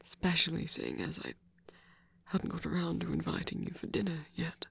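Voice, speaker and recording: A man voicing a flirty, courteous vampire in an intimate ASMR roleplay, speaking in a breathy whisper with slow, formal, deliberate phrasing. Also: on the high side, huge pitch swings, pushed and bright, no room sound, heavily acted. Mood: teasing, courteous. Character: flirty courteous male vampire, softly seductive.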